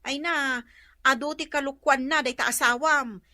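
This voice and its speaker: A woman's voice: high voice, steady tone, normal speed, natural voice. A woman playing a meddling, gossipy older female friend in a radio drama, insistent and inquisitive. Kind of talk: Ilocano comedy radio drama acting.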